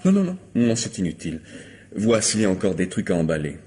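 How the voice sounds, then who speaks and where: An actor playing a brisk, bossy, coaxing secret lover in a French radio mystery, with a condescending manner. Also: male voice, low-pitched, very animated, warm tone, slight background noise. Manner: domineering, condescending.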